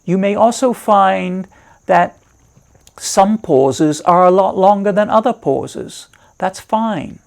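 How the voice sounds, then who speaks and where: A man with a fairly high voice guides a meditation at a very slow pace. He gives measured, instructive directions and leaves deliberate pauses between phrases. His wording is reassuring, as a teacher's would be. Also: animated, vocal fry, a little mellow.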